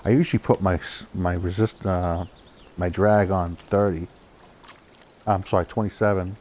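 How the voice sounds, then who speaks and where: Casual young male streamer, Boston accent, lowish pitch, chatty and excitable, faint background hiss. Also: normal speed. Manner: chatty, excitable at good catches, laughs often. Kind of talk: casual live gameplay commentary.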